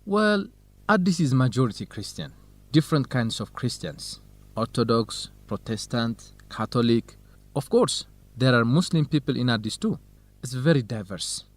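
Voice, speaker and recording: An actor playing a helpful, knowledgeable young researcher, explaining things in simple sentences in an educational radio drama. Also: male voice, mid-range voice, lively intonation, normal speed, natural voice. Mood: informative.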